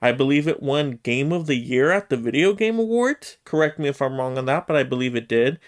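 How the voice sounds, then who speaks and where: A man's voice: mid-range voice, expressive, brisk, smooth. A man hosting a casual solo news roundup, conversational and emphatic, often checking himself with asides. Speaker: YouTube host giving movie news commentary.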